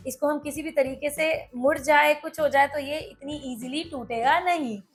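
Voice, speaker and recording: A woman's voice: high voice, moderate pace. A woman with an Indian accent hosting a casual product review, explaining things step by step and speaking directly to viewers.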